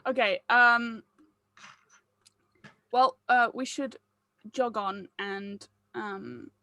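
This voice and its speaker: A woman's voice: a little high, fairly even intonation, drawn-out words, sharp tone, no room sound, clean studio sound. A woman directing a table read, chatting casually and giving encouraging, informal feedback. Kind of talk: casual group chat and feedback.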